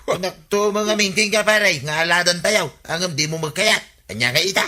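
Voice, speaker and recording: An actor playing an exasperated, insistent village friend in an Ilocano radio comedy drama, with an Ilocano accent. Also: male voice, sits high, normal speed, sharp tone. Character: nagging, exasperated male village buddy of the captain.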